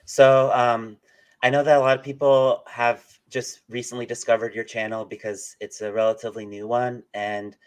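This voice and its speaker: The man running a relaxed online livestream interview, easing guests into long, loosely worded questions. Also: medium pitch, moderate pace, clean tone. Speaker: interviewer, channel host. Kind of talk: casual live interview.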